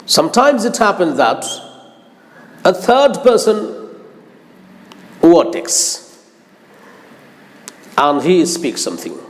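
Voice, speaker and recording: Slow, high-pitched man preaching. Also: big pitch swings. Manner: deliberate, emphatic, repeats phrases. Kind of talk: instructive religious lecture. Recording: long hall-like reverb.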